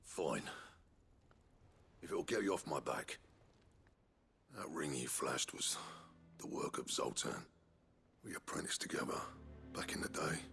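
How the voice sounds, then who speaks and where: Gruff proud smith, low gravelly voice, swooping delivery, blunt grumbling, video game, music underneath. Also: male voice, expressive, measured pace, long pauses, fairly bright tone, full character voice. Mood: grudging, bitter. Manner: grumbling, blunt, colloquial, sweary. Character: gruff, proud, foul-mouthed village-born master blacksmith.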